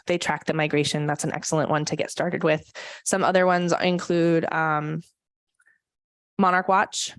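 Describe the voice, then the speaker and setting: Woman presenter, low-set voice, dry close sound, earnest talk with ums. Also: dry recording. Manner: earnest, frequent um fillers. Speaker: conservation presenter. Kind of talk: public talk at a church forum. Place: church meeting room.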